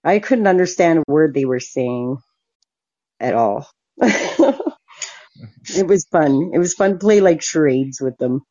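A woman's voice: low voice, lively intonation, a little slow, pauses often, warm tone, dull audio. A woman of forty from Canada, hosting a stream and chatting candidly with a caller, laughing often. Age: 40s. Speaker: stream host. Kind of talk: casual chat with a caller.

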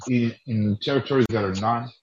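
A man calling in to a stream, calm and reassuring in casual chat. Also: mid-range voice, a little fast, dull audio, slight background noise. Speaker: caller.

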